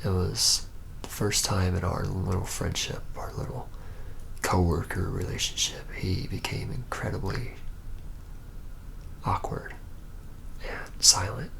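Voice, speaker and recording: A man as a low, gravelly, reluctant young vampire. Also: steady tone, fairly bright tone, exaggerated voice, poor audio. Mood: hesitant, uneasy recollection. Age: young adult. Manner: hesitant, halting, murmured, often near whispering. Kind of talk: ASMR roleplay monologue.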